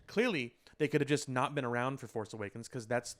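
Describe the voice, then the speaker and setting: A man's voice: mid-range voice, brisk. A man in a casual podcast conversation, explaining his points in an emphatic way. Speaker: podcast host. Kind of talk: casual chat about pop culture.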